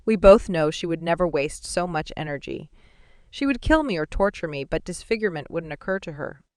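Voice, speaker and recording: A cruel sorcerer father taunts his captive daughter with cool, sneering amusement, as a woman reader puts him on. The voice sits low and smooth, read close to the mic in a dead-dry studio. It unfolds like a dark fantasy audiobook. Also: lively intonation, a little fast, no echo, natural voice. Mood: mocking. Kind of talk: audiobook dialogue reading.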